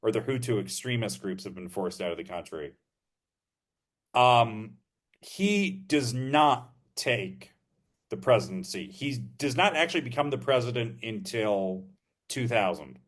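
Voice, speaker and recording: A man co-hosting a podcast, explaining history in a measured, casual way, thinking aloud with frequent ums and uhs. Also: mid-range voice, expressive.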